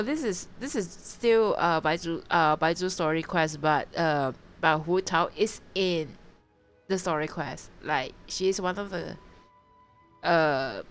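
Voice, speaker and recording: Female game streamer, low voice, unhurried casual commentary, halting, thinks aloud and repeats herself. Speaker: live streamer. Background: game music.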